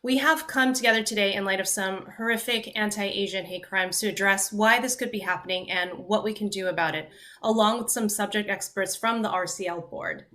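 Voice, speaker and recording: A woman's voice: mid-range voice, a little fast, fairly bright tone. A woman hosting a podcast, polite, reading partly scripted introductions and questions. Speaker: podcast host.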